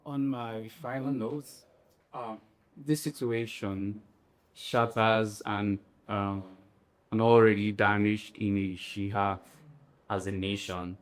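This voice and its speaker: A man on a panel, giving his final note in a hesitant, measured way. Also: fairly low pitch, flat delivery.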